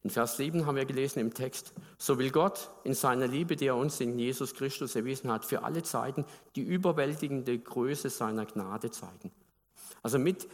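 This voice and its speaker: Preacher, male, from Baden, mid pitch, chatty sermon delivery, long reverb of a church hall. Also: normal speed. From Baden, Germany. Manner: conversational, asks the congregation questions.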